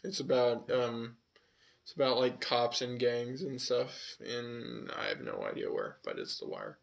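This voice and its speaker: Californian guy w a bit of southwest twang, gravelly, rambling podcast chat full of ums. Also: medium pitch, lively intonation, a little slow. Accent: Californian with slight Southwest tinge. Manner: rambling, lots of fillers and swearing. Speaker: podcast co-host. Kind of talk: casual chat.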